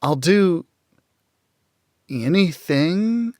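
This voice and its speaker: A man voicing a wary, defiant, streetwise teenage girl in audiobook dialogue, with hesitant, halting questions. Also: fairly high pitch, expressive, darkish tone, dry recording, clean studio sound, pitched above the performer's own voice. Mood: pleading.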